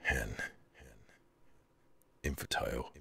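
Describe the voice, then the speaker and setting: A man voicing a shy, caring male dragon in an ASMR roleplay, hesitant and intimate, with a gravelly, husky voice. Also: very slow, bright, forceful tone. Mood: friendly, hesitant.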